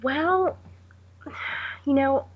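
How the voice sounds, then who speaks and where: A woman's voice: high voice, extremely slow. A woman chatting casually about films as a podcast panelist, informal, offhand and blunt. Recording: dull lo-fi podcast audio.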